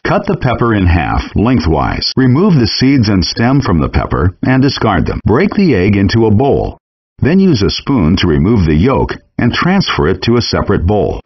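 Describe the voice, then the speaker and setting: A man voicing a calm chef instructor in a video game, reading measured step-by-step cooking instructions. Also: lowish voice, slightly rough. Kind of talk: scripted game voice lines. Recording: dull lo-fi game audio rip.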